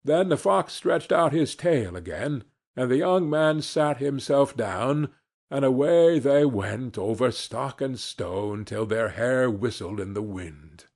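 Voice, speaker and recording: A man reading a fairy tale aloud as a storyteller, in a measured, expressive way. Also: mid-range voice. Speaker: storyteller, podcast narrator. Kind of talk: scripted fairy tale narration.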